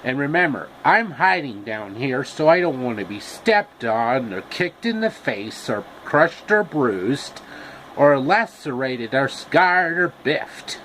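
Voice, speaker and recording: Man acting talking scarecrow, droll, fussy, campy, slow pace, swooping intonation, storybook character, faint noise. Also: mid-range voice, big pitch swings, fairly bright tone, poor audio. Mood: wry, fussy.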